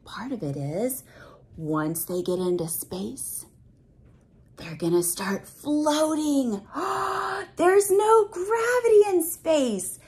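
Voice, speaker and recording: A woman reading a picture book aloud to children, expressive and exclamatory, pausing to explain things simply and put questions to young listeners. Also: sits high. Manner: expressive, exclamatory, with audible gasps of wonder.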